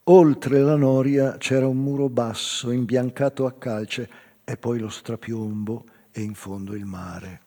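Aging island man, mellow, in reverberant hall. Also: medium pitch, steady tone, unhurried. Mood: nostalgic, reflective. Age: older. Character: aging island man, reflective, recalling his childhood. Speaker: stage actor. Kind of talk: literary theatre monologue, reminiscing storytelling.

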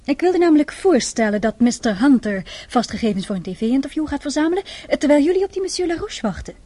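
Female radio-drama role: ambitious, cheeky reporter, high voice, quick delivery, politely needling. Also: big pitch swings, warm tone, no echo.

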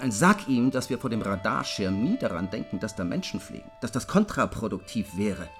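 A man's voice: lowish voice, big pitch swings, normal speed, music in the background. An actor in a German radio drama playing an insistent, needling man who presses his points in a commanding, emphatic way. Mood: urging.

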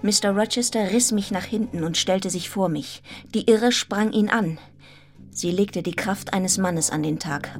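Radio drama lead for an actress: wounded, resolute young governess, medium register, intense yet restrained narration, musical backing. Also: female voice, medium pitch, close to an everyday voice. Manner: restrained, intense, breaking into hushed fragments. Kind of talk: German radio play narration and acting.

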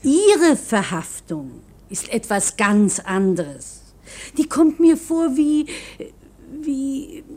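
Motherly old landlady, slow careful talk, simple and humble, a bit fretful, radio play. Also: sits high, big pitch swings, warm tone, full character voice. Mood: gentle, hesitant. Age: older. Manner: hesitant, deferential, soothing. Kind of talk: radio drama acting.

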